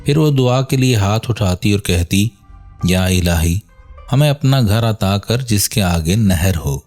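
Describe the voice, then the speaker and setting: Guy w a lowish voice narrating an islamic moral story, earnest and measured, soft music behind. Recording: voice over background music.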